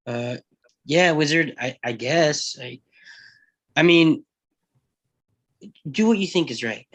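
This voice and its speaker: A man playing a timid, agreeable teenage boy in a table read, speaking in a hesitant, halting way. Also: on the high side, a bit bright. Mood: awkward, unsure. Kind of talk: live table read acting.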